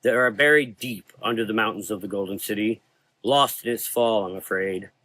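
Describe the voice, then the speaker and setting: A man playing a weary, learned and brooding occult hunter, speaking in a measured, formal, ominous way in a gothic horror audio drama. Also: lowish voice, bright, forceful tone, dry recording, rough recording.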